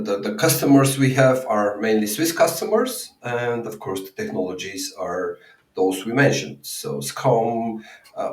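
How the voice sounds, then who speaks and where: A man from Bulgaria co-hosting a podcast, chatting casually about tech with lots of fillers and restarts. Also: lowish voice. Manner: hesitant, many fillers and restarts. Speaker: podcast co-host. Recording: roomy, distant from the mic.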